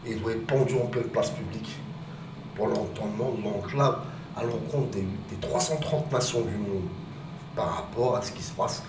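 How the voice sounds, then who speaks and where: A man's voice: fairly low pitch, moderate pace. Agitated man ranting into a distant phone. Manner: agitated, rambling, rising to shouted accusations. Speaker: vlogger. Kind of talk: rambling phone-filmed rant. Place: outdoors on a street near his home. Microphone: phone camera. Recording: roomy distant outdoor phone recording with loud ambient noise.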